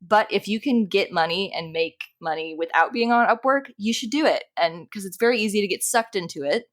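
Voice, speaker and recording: A woman's voice: medium pitch, expressive, fairly quick, a bit bright. A woman chatting casually as an interview guest, informal and self-deprecating in the way she talks. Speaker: podcast guest, animator and dungeon master.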